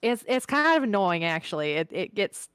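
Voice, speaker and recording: A woman's voice: medium pitch, sing-song, moderate pace, a bit bright, a little hiss or hum. A woman from southern Missouri with a mild Midwestern accent, chatting casually and laughing often while streaming a game.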